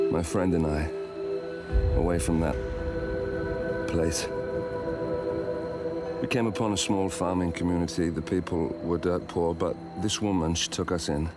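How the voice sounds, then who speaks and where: Deep, brooding rebel leader. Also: male voice, fairly even intonation. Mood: somber, bitter. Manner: measured, solemn, brooding. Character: grave, haunted male rebel leader and sniper. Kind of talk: scripted video game cutscene acting. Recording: loud background music.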